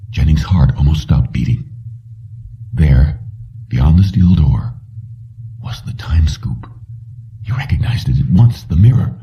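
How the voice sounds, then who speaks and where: A man reading a suspense story as an audiobook narrator, in measured, dramatically broken phrases. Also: low voice, recorded from a distance, highs cut off.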